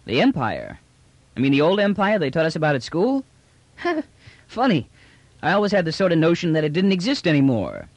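Audiobook role: brash prisoner trader, voiced by a man, colloquial and bland-faced cheek, lively swings in pitch. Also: a little fast, dry recording, heavily acted. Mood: surprised, wry. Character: brash, unruffled bearded male space trader prisoner, slangy. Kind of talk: audiobook character dialogue.

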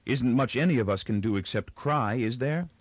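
A man's voice: mid-range voice, lively intonation, normal speed, slightly rough, dry recording, pitched above the performer's own voice. A man voicing a cynical, sophisticated young female factory worker in a storybook, languid and scornful. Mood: insinuating.

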